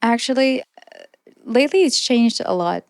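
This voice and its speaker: A woman's voice: fairly high pitch, animated, normal speed, a little mellow, smooth, no room sound, studio quality. A young woman in her twenties, originally from Iran, earnestly telling her personal story as an interview guest. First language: Persian. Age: 20s. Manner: reflective, earnest, with small restarts and light laughs. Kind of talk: personal testimony in conversation.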